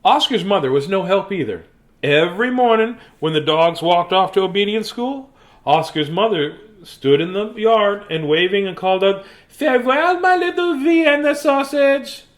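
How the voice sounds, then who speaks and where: A man reading a children's bedtime story theatrically, with dramatic pauses and playful asides. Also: high-pitched, expressive.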